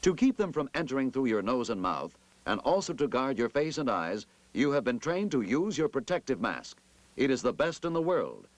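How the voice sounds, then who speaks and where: A man narrating a scripted 1960s military instructional film in a formal, emphatic, measured manner. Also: mid-range voice, no echo.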